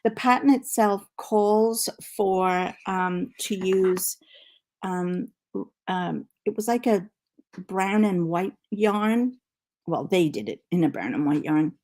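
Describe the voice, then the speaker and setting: A middle-aged woman, originally from Liverpool, who now speaks with a Canadian accent and hints of her old one. She hosts a relaxed live stream from home, greeting viewers and rambling cheerfully with plenty of ums and asides, recorded on a laptop mic. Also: medium pitch, slow. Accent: Canadian English with faint Liverpool traces. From Liverpool, England. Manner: chatty, excitable, many fillers and asides. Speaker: knitting channel host. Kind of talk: casual live-stream chat.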